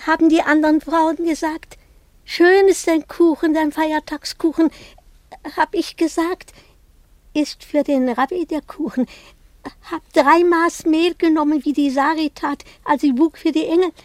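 A woman's voice: high voice, steady tone, a little slow, a little mellow, no echo. An actress playing a devout old peasant woman who speaks in a lamenting, sing-song, folk-like way. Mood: proud, wistful.

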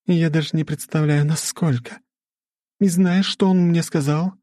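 A man's voice: on the high side, fairly even intonation, measured pace, mellow, dry recording, pitched above the performer's own voice, close to an everyday voice. A man voicing an exasperated, bossy demoness wife in an audiobook, scolding and commanding with emphasis. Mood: urgent, insistent. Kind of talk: audiobook character acting.

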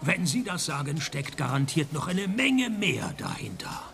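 An actor playing a cynical, wisecracking private detective in a radio play, deadpan and sarcastic, with a Swiss Standard German accent. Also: male voice, fairly high pitch, measured pace, gravelly, slight background noise, exaggerated voice. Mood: mocking, probing. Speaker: detective and first-person narrator. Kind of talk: radio play dialogue and scripted narration.

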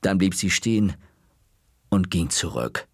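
A man narrating a children's story in an expressive, unhurried way. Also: low voice, slightly rough, dry recording, clean studio sound.

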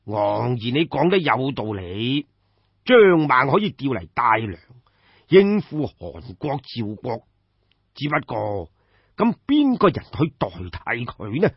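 A man with a Cantonese accent voicing a weary, authoritative old king of ancient China, slow and pondering, in an audio story. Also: mid-range voice, big pitch swings, breathy edge, no room sound, dull audio, full character voice. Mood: deliberating.

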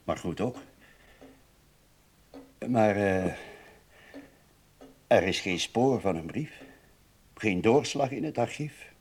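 An actor playing a smooth male office boss in a radio drama, placating and hesitant yet pressing. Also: fairly low pitch, animated, slow pace, long pauses, full character voice. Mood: uneasy, pressing.

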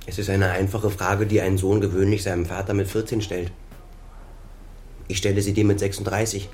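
An actor in a German radio crime drama playing a bitter, accusing son in his thirties, pointed and deliberate in his speech. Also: low voice, little pitch movement, darkish tone, slight background noise, natural voice. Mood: probing, reproachful. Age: 30s.

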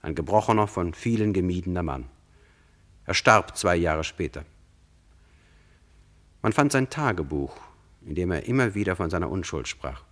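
A deep-voiced male narrator tells a true crime radio play. His delivery is sober and measured, explaining things directly to the listener. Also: lively intonation, fairly bright tone.

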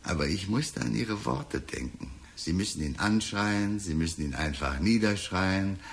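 An actor playing a talkative, sly police detective in a radio drama, deliberate and insinuating, with falsely sympathetic musing. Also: male voice, low-pitched, lively intonation, creaky, heavily acted. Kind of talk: German radio drama acting.

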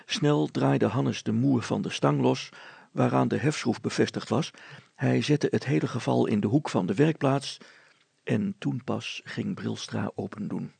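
A man narrating a children's story as an expressive storyteller. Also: medium pitch, measured pace, gravelly, slightly rough, no room sound.

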